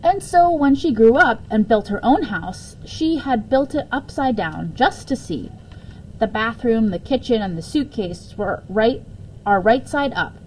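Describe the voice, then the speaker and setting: A woman reading a children's book aloud as a storyteller, expressively and deliberately, with occasional stumbles and self-corrections. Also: medium pitch, a little mellow, slight background noise, rough recording. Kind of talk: read-aloud children's story with casual asides.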